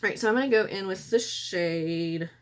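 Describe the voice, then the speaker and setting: Hoarse woman chatting through makeup. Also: mid-range voice, normal speed. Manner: chatty, rambling, self-correcting. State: hoarse, losing her voice. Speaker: beauty vlogger. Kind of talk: casual makeup tutorial. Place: room at home by a window.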